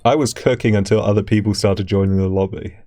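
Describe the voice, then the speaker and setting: Man, lowish voice, second-language english, chatty gamer banter, quick laughs. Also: steady tone, warm tone, faint music behind. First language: not English. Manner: chatty, laughs easily, reminiscing. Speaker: gamer streaming with friends. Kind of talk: casual gaming banter.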